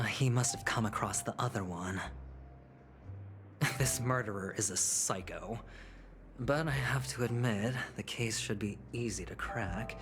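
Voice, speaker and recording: Casting: a wry game-character gambler, playful and calculating schemer, music under the dialogue. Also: male voice, fairly low pitch, a bit bright. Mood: scheming. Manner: playful, calculating, chuckles and sighs often. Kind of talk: video game voice acting.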